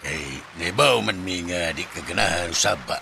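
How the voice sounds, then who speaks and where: A man's voice: sits low, normal speed, gravelly, sharp tone, a little hiss or hum, exaggerated voice. A Filipino man with a Cebuano accent acting a teasing, pushy best buddy who banters and nags in a Bisaya radio drama.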